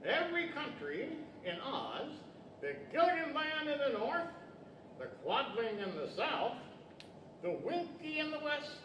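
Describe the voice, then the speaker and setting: Man playing a high-pitched, pompous stage wizard. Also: very animated, unhurried, exaggerated voice. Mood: explaining. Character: male wizard of Oz, fussy, theatrical, learned magician. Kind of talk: 1940s radio-style stage acting. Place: library stage, microphones on stands. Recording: echoey hall from far off, noisy.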